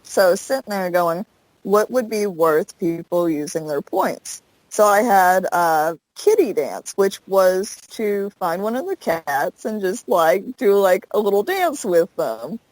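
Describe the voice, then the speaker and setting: A woman's voice: medium pitch, big pitch swings, a little slow, darkish tone, no room sound, low-quality recording. A young woman from the American South, a streamer, chatting casually in an interview and rambling with lots of fillers. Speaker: Twitch streamer, guest. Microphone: headset.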